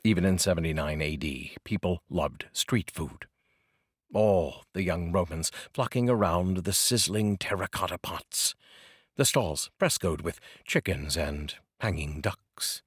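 A middle-aged man reads poetry with deliberate, dramatic phrasing. His voice is very low and husky, and his pitch moves in lively sweeps. He is close on a studio microphone in a dry space. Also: no room sound. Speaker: poetry reader, channel host. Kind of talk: expressive poetry reading. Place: small curtained home studio, indoors. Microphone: close studio microphone on boom arm with pop filter.

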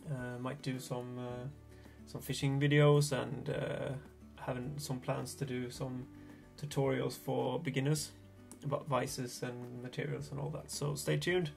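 A man in his thirties with a Swedish accent, a Swedish native speaker explaining fly tying in a relaxed, hesitant way from a small home workshop. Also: medium pitch, measured pace, creaky, hardly any pauses, soft background music. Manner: relaxed, hesitant, thinking aloud. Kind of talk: casual tutorial narration.

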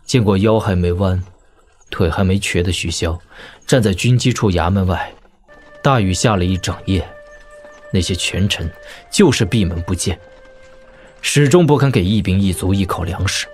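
An actor playing a weary, tender young lord and elder brother in an audio drama, speaking in a measured, reflective way. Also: male voice, sits low, darkish tone, soft background music, natural voice. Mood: sorrowful, reflective. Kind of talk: audio drama dialogue in Mandarin wuxia serial.

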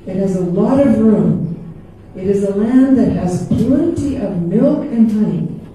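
A woman's voice: medium pitch, lively intonation, dark tone, far from the mic, slight background noise. Older woman reading scripture, echoey hall. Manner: slow, careful reading at a lectern. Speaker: Bible reader. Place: large church hall, indoors. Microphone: handheld mic, far camera. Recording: echoey hall recorded from afar.

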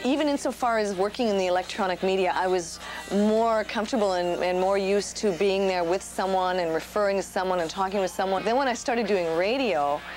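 An animated woman in her thirties talks about her radio work in an easy, casual way. Her voice sits in a middle range and moves with her gestures. Faint music plays underneath in a TV studio. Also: medium pitch, fairly quick. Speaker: radio disc jockey. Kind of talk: casual panel chat. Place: TV studio set.

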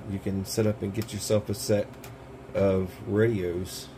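A man's voice: fairly low pitch, level tone, unhurried, dark tone, slight background noise, low-quality recording. An American man hosting a casual product demo, talking in a folksy, informal, rambling way. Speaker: host of a prepper gear channel. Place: small cluttered indoor room.

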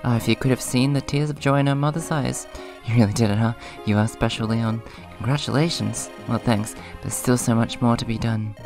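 A man hosts a casual let's play in a hoarse, subdued voice at an average pitch. He moves between rambling comments and reading on-screen dialogue. Music plays clearly behind him. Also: steady tone, brisk, hardly any pauses. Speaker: gaming video host. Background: video game music.

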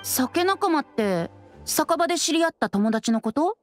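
A woman voicing a youthful, boyish bard in an anime game, playful and breezy with gentle teasing. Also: sits high, lively intonation, unhurried, faint music behind.

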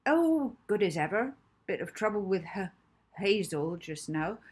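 A woman's voice: mid-range voice, big pitch swings, measured pace, slightly rough. A woman voicing a plump, kindly, affectionate female carer who stammers, in a children's storybook reading. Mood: cosy, chatty. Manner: stammering, warm and fussing.

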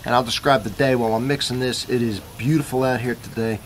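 A man's voice: medium pitch, fairly even intonation, quick pace, a little hiss or hum. A man casually reviewing coffee in his backyard, talking in an easygoing, rambling way as he thinks aloud. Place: backyard outdoors.

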